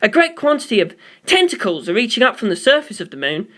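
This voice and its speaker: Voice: mid-range voice, very animated, fast talker, a bit bright. A reader voicing a tense male mission control officer in a space story, urgent and formal. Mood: alarmed.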